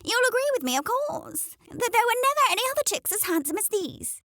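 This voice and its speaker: A woman voicing a boastful, self-important, fussy mother hen in an animated storybook read-aloud. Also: very high-pitched, a little fast, dry recording, clean studio sound, voice pushed up, full character voice. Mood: proud. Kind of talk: animated children's storybook character voice.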